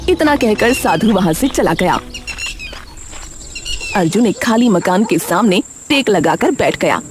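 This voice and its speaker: A woman's voice: mid-range voice, animated, measured pace. A woman reading scripted narration for a cartoon story as its storyteller. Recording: music behind the voice.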